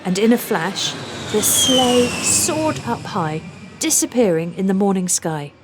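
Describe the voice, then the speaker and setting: A woman narrating a children's storybook aloud, with deliberate, rhythmic delivery of rhymed phrases. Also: mid-range voice, sing-song, over music. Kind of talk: scripted storybook read-aloud.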